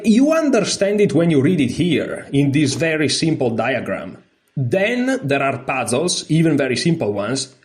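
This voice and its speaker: A man who is a non-native English speaker, giving a casual lesson, reading aloud and adding candid, informal asides as he thinks out loud. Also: mid-range voice. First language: not English. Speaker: chess teacher and streamer.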